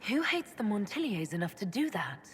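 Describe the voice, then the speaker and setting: An actress playing a calm, frank elf mage leader in a game, speaking in a level, direct, dry way. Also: female voice, mid-range voice, normal speed, natural voice. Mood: calm, supportive. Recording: reverberant hall with noisy ambience.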